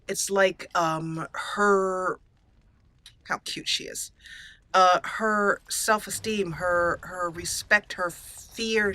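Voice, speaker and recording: A woman hosting a video game let's play, telling her character's story in a halting, musing way as she improvises. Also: on the low side, pushed and bright. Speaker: gaming channel host.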